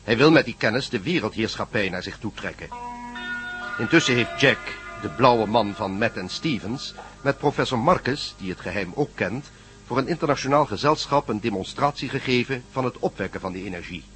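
A man narrating a recap in a measured, scripted way. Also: mid-range voice, expressive. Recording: music bed under narration.

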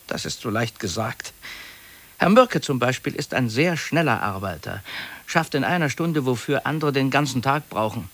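A fussy middle-aged male office clerk in a 1950s radio play. His voice sits at a middle pitch. He confides hesitantly and anxiously, gently pleading and seeking agreement. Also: lively intonation, normal speed, dry recording. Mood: confiding, wistful. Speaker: actor.

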